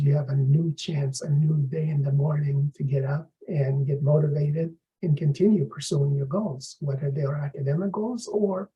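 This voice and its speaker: A middle-aged man from Afghanistan, a professor introducing his course in a measured, explanatory lecturing manner. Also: a little high, warm tone, muffled recording.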